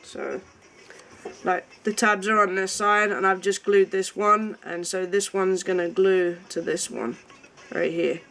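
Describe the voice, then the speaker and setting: A woman chatting with a friend in a home craft room, calmly explaining the gluing steps of a paper craft. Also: mid-range voice, a little slow, creaky, low-quality recording. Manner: relaxed, adds short explanations. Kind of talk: casual chat during a craft tutorial.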